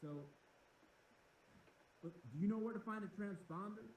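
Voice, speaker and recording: A man's voice: high-pitched, normal speed, darkish tone, echoey room, distant mic, a little hiss or hum, poor audio. A man playing a VR game aloud, chatting, pleading and joking with the characters. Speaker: video game player and host.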